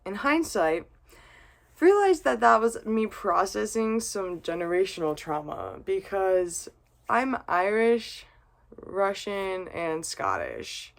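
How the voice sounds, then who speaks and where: Woman vlogger, mid-pitch voice, loose and rambling after some vodka, earnest with casual swearing. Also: big pitch swings, slow, creaky. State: has been drinking vodka. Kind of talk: casual reflective monologue.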